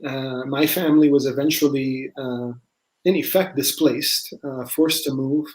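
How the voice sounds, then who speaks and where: A man, a guest, answering in a measured and courteous way. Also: medium pitch, steady tone, low-quality recording. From United States. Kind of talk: interview answer.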